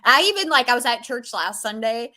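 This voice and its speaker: Bright, lively woman co-host. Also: a little high. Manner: lively, quick storyteller. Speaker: podcast co-host. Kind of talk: casual chat.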